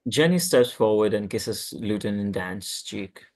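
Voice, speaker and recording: A man narrates screenplay directions at an informal table read. His voice sits on the low side. He reads plainly, sometimes tripping over words. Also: animated, unhurried. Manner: plain reading with stumbles. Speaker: stage-direction reader.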